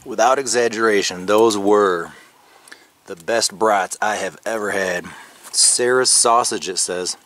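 A man in his thirties talking casually to a handheld camera outdoors at a snowy campground, relaxed and chatty with fillers. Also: fairly low pitch, a little hiss or hum. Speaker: vlogger. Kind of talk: casual vlog talk to camera. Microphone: handheld camera at arm's length.

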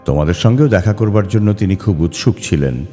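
A man voicing an eerie, weary elder friend who tells of a ghost, halting, pained and subdued. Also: low-pitched, animated, dark tone, pitched above the performer's own voice. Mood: urgent, resigned. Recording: long reverb, faint music behind.

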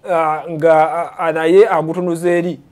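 A man's voice: a little high, little pitch movement, moderate pace, a little mellow. A man co-hosting a sports talk show, speaking animatedly and mixing in English terms. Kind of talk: casual studio discussion.

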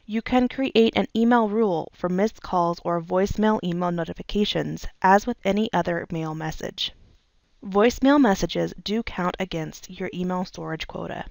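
A woman reading scripted instructional narration as a tutorial narrator, in a measured, step-by-step way. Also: lowish voice, a little mellow, no echo. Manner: clear, measured step-by-step delivery.

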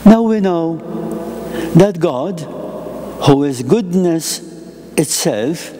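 An elderly priest delivers a sermon from a lectern in a chapel, with slow, deliberate phrasing and a melodic rise and fall. His voice is mellow and somewhat high for a man, and a long church reverb trails behind it. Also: lively intonation. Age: older. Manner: slow, deliberate phrasing in short measured pieces. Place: chapel with an icon and a lectern, indoors. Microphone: lectern microphone.

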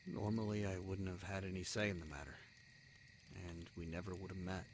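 A man acts out a young exiled prince in a fantasy audio drama. His voice is low, bitter and wounded, coming in halting phrases with heavy pauses. Faint night-forest noise with crickets sits behind him. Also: fairly even intonation, natural voice. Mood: subdued, resigned. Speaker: voice actor in an audio roleplay.

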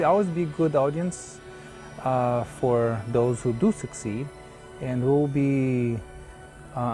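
A middle-aged man speaking thoughtfully in an outdoor documentary interview, pausing with fillers as he speaks. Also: medium pitch. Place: outdoors on a lawn.

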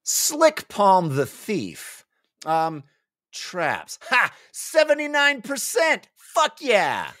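Man acting out excitable, high-pitched nerdy male gamer. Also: extremely slow, no room sound, clean studio sound, full character voice. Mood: eager, bumbling. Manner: exclaiming, mock-heroic, blurting. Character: excitable nerdy male tabletop gamers, shouting dice players. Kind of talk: comic dramatic reading.

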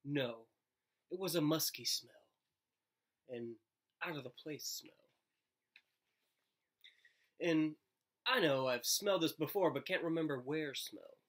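Male storybook reader, thirties, bright forward tone, expressive narration. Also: fairly high pitch, slow pace, long pauses. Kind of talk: expressive read-aloud narration. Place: living room, indoors.

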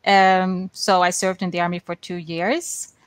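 A young woman from the Netherlands speaks as a guest on a video call, using a headset mic in a small room. Her talk is earnest and steady, with frequent ums. The sound is dry and close. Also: on the low side, measured pace, smooth, no room sound, soft background music. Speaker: guest activist.

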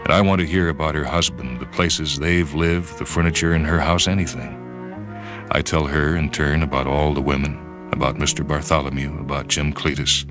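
A man narrating a story for radio in a measured, introspective, dramatic manner. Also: rumbling low. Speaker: reader and host of a radio short story program. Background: music.

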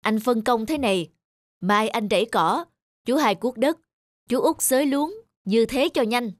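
A man's voice: very high-pitched, unhurried, no echo. Man acting bossy eldest brother, self-important. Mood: instructing. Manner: authoritative, self-righteous. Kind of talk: animated folk tale voice acting.

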